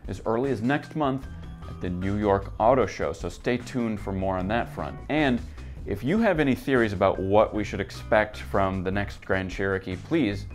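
A man in his thirties hosting a scripted gear news roundup, seated at a desk in an office and talking briskly from his notes. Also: lowish voice, faint music behind. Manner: brisk, upbeat presenter reading from notes.

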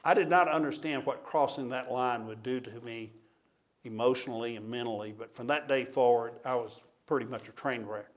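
A man's voice: mid-range voice, moderate pace. Older man giving a talk. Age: 60s or older. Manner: plainspoken, confessional. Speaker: keynote speaker. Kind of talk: storytelling public lecture. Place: auditorium stage at a lectern. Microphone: podium gooseneck microphone.

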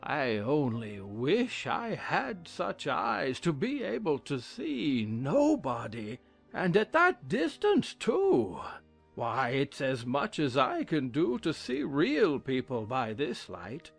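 A man's voice: a little high, wildly swooping pitch, vocal fry, faint music behind, full character voice. Pompous, wildly swooping king. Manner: pompous, theatrical, drawn-out. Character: pompous, fussy, faint-hearted old king. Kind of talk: dramatized audiobook acting.

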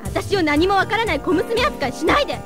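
A woman playing a defiant, sharp-tongued high school girl, indignant and forthright, in an audio drama. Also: animated, brisk, over music.

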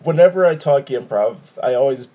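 A man in his thirties from Wisconsin hosts a laid-back video call from his living room. His voice is mellow and a little husky, and he rambles with easy chuckles. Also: medium pitch, animated, brisk, rough recording. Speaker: podcast host. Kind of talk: casual chat over video call.

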